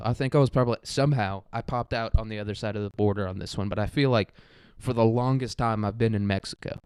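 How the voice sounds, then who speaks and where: A young American man with a clear, smooth voice talks in a very dry-sounding space. He rambles through a casual comedic podcast monologue with a crude, irreverent edge. His pitch sits in an ordinary middle range for a man. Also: a little mellow, dry recording. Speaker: solo podcast host.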